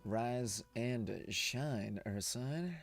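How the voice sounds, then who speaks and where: Rambling, laughing male streamer with a hoarse morning voice, pitched mid-range. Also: extremely slow, no room sound, soft background music. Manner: rambling, chatty, laughs often. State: hoarse, just woke up. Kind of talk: casual live-stream watch-along chat.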